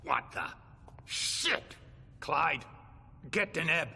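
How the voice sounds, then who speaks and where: An actor playing an agitated, rough vampire henchman in a video game, curt and barking. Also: a little high, animated, drawn-out words, pushed and bright, slight background noise, exaggerated voice. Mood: urgent. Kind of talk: dramatic video game acting. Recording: long hall reverb.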